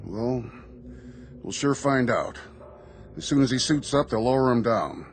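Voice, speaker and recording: A man's voice: medium pitch, expressive, unhurried, faint music behind. A man playing an earnest, puzzled Navy lieutenant in a radio drama, formal and deferential to his superior. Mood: baffled, eager.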